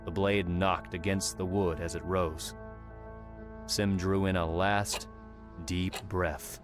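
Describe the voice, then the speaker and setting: A man narrating an audiobook in a measured, dramatic storytelling manner. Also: deep voice, little pitch movement. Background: background music.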